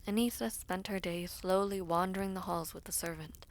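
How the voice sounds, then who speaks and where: A woman playing a formal, composed villainess devoted to her prince, speaking in slow, breathy, measured phrases in a fantasy audio roleplay. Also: fairly low pitch, fairly even intonation, natural voice. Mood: somber, reflective. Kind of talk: fantasy audio roleplay with first-person narration.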